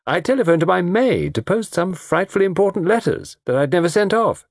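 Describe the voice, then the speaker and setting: Man putting on a high, breezy young woman. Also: expressive, brisk, no echo, studio quality. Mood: amused. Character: light, polite, lively young society woman.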